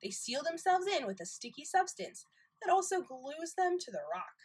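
High-voiced woman reading to young children. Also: normal speed. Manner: expressive, asks the listeners questions, exclaims. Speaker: nursery school teacher reading aloud. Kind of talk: animated picture book read-aloud for young children.

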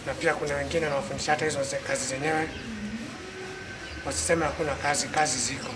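A man's voice: fairly high pitch, little pitch movement, fast talker. A man in his thirties with a Kenyan accent speaks in short, plain statements in an interview. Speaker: welder. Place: outdoors by cars and stone wall. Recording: loud outdoor street noise, distant mic.

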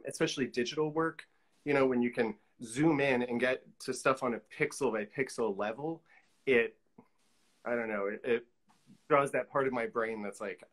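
A man's voice: medium pitch, a bit bright. A man, chatting casually as an interview guest on a video call. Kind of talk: casual chat about own work. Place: room at home, video call.

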